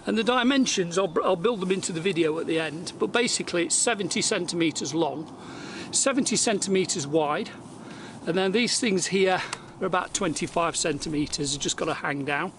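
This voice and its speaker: A high, gravelly-voiced man in his forties chats loosely through a homemade gear project, trailing off and restarting as he goes. His voice comes through a camera mic at a distance, with a roomy echo and a faint hiss underneath. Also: moderate pace. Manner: rambling, chatty, with many fillers and restarts. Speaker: vlogger. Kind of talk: casual how-to vlog. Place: outdoors by a lake, then indoors in a kitchen.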